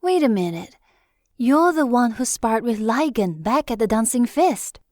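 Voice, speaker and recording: A woman's voice: on the high side, big pitch swings, a little slow, darkish tone, no echo, clean studio sound. A woman playing a friendly, upbeat young dancer in an audio drama. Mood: friendly, impressed.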